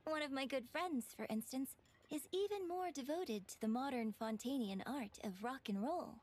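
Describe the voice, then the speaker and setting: A woman's voice: high voice, normal speed, darkish tone. A woman voicing a courteous, cultured young lady in a video game, with polite, eloquent and formal phrasing. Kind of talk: scripted video game dialogue.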